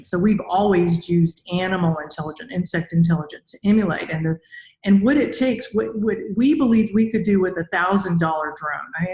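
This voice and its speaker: The voice is an older woman's, fairly low in pitch. She talks conversationally as an interview host, recorded over a video call in a noticeably echoey room. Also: darkish tone. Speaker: podcast host and robotics researcher. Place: indoor room with bare walls.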